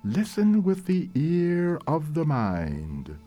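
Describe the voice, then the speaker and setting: Male meditation leader, extremely unhurried, with rising and falling intonation, gentle and deliberate over background music. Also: a little high, huge pitch swings, dull audio. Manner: gentle, deliberate, measured phrasing with long pauses. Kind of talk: slow guided meditation narration. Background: soft meditation music.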